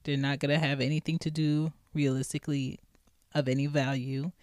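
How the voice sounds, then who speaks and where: A woman's voice: low-pitched, flat delivery, brisk, darkish tone, dry recording, clean studio sound. A woman in her thirties hosting a podcast, talking bluntly and emphatically with plenty of profanity. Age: 30s. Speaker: podcast host. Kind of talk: casual monologue.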